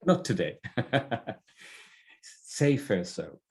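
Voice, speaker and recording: A man giving a conversational explanation as an interview guest, halting as he goes and often repeating or correcting his words. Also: on the low side, expressive, darkish tone. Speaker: interview guest, leadership expert.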